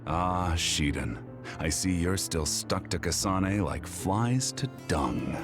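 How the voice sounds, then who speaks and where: Very deep-voiced scornful general. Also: male voice, takes it slowly. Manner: disdainful, commanding. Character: cold, scornful major general turned rebel commander. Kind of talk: video game cutscene acting. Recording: music behind the voice.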